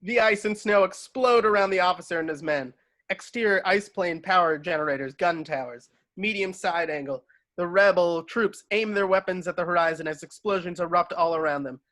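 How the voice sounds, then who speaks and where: A man's voice: high-pitched, moderate pace, a bit bright, dry recording. A man reading stage directions as scripted narration, giving scene headings in clipped fragments.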